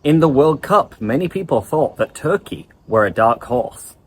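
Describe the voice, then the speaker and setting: Male English teacher, online lesson, lively and explanatory, pitch swings widely. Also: normal speed.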